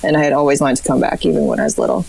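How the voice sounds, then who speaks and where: Brief: woman, 30s, from Hong Kong, relaxed guest-doctor chat, lower voice. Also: racing, creaky, breathy edge, poor audio. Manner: relaxed, explains plainly with many fillers. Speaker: guest surgeon. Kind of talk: casual podcast chat.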